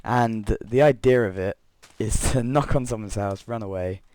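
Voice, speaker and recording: Croaky young man chatting over gameplay. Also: on the low side, normal speed, a little mellow, no room sound. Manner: rambling, self-correcting, chuckling asides. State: croaky voice from illness. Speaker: Minecraft let's player. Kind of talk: casual gameplay commentary and storytelling.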